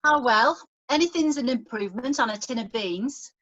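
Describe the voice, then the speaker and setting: Bright, fussing fifties mum played by a woman. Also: fairly high pitch, normal speed, muffled recording. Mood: chatty, fussing. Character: fond, fussing mother in her fifties. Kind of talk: acted dialogue in a script table read. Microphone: video call.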